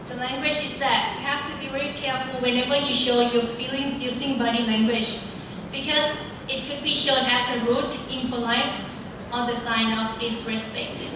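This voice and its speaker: A teenage girl with a Thai accent, her first language Thai, giving a careful, formal, recited speech at a student speaking contest. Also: high-pitched. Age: teens. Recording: echoey hall from far off, noisy.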